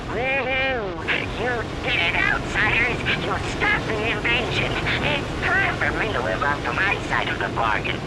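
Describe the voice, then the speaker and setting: An actor voicing an excitable, folksy alien villager in an animated game cutscene. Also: male voice, high voice, creaky, heavily acted. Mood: jubilant. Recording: loud vehicle and battle noise behind.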